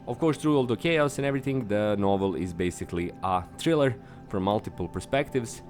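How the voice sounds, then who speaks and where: A man with a Serbian accent, a native Serbian speaker, hosting his own podcast in an upbeat, earnest monologue that jumps up in pitch on stressed words. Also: animated, fairly quick, darkish tone, faint music behind. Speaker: podcast host.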